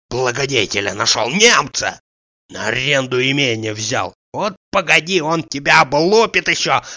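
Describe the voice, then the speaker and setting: A man voicing a greedy, abusive, aggrieved bully in an audiobook, ranting crudely and shouting. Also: mid-range voice. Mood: scornful, insulting. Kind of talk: dramatised audiobook dialogue.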